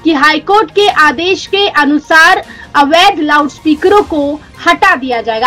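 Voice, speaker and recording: A woman's voice: high-pitched, pushed and bright. A woman news presenter, delivering a scripted news narration.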